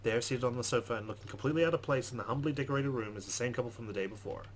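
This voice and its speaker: A man's voice: mid-range voice, very fast, slight background noise. A man reading a visual novel aloud as a let's play host, slipping in his own asides.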